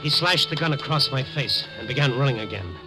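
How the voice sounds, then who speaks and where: An actor playing a hardboiled newspaper reporter who narrates in dry, laconic wisecracks in an old radio drama. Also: male voice, medium pitch, lively intonation, brisk, lightly acted. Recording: old radio broadcast, music bed.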